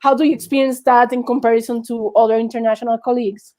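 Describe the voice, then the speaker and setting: A woman's voice: on the high side, fairly even intonation, fast talker, darkish tone. A young woman hosting a live video-call interview, chatty and laughing, talking casually into an earphone mic in a small room. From Colombia. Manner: chatty and laughing, with many fillers. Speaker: host.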